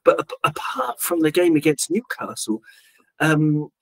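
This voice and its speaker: A man's voice: a little high, big pitch swings, a little slow. A man hosting a casual football podcast, chatty and joking, with lots of fillers. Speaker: podcast host.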